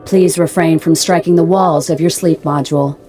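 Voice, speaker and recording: A woman playing a polite, literal-minded spaceship computer in an audio drama, speaking in a formal, measured, impassive way. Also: deep voice, steady tone, warm tone, faint music behind, lightly acted. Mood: politely firm. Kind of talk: radio drama dialogue.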